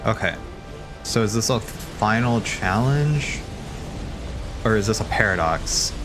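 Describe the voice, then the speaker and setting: A man hosting a livestream, commenting casually on game cutscenes in an excitable, rambling, slangy way. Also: fairly low pitch, slow pace. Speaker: livestream host. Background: game soundtrack music.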